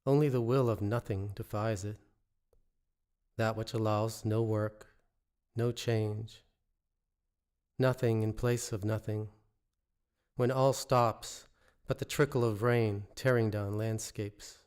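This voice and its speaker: A man reads his own poetry in a measured, deliberate way, pausing between short phrases. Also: fairly low pitch, steady tone, darkish tone, clean tone. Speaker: poet reading own work. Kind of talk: poetry reading. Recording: musical score under the voice. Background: original instrumental music.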